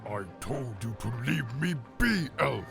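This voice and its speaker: A man voicing a large, wary ogre traveller in a fantasy storybook, with a grating, firm, measured delivery. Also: very animated, creaky, exaggerated voice. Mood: angry warning. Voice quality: deep, grating. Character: large male ogre traveller, restrained but gruffly warning. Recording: narration over loud background music.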